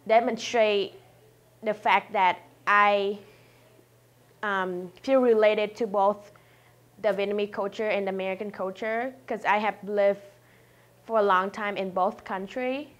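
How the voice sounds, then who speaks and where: A woman's voice: mid-range voice, steady tone, a little slow, muffled recording. Hesitant young woman. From California. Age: young adult. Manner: hesitant, searching for words. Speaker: student interviewee. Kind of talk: unscripted reflective answers.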